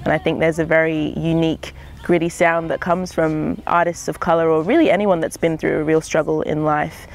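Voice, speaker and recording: A young woman from Zimbabwe, a singer-songwriter, speaking reflectively in an interview, often pausing with "um" and "sort of". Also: sits low, fairly even intonation. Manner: thoughtful, hedging with frequent "um" and "sort of".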